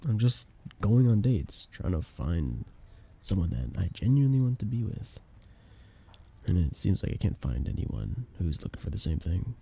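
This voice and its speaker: A young man acting a flustered best friend with a hidden crush in an intimate ASMR romance roleplay, soft-spoken, casual and hesitant. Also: lowish voice, vocal fry, breathy edge, heavily acted. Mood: weary, candid.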